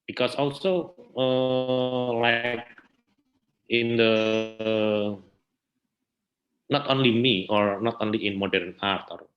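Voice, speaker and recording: A man's voice: mid-range voice, steady tone, takes it slowly, long pauses, lo-fi sound, faint music behind. A man from Indonesia with an Indonesian accent, a native Indonesian speaker, answering interview questions hesitantly as he searches for words in English. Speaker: theater practitioner interview guest.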